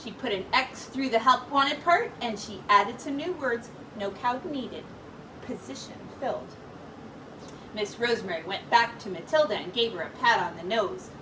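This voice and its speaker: A woman teacher reading a picture book aloud to young children in an expressive, animated storytelling manner with dramatic pauses. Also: a little high. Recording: distant mic, faint background noise.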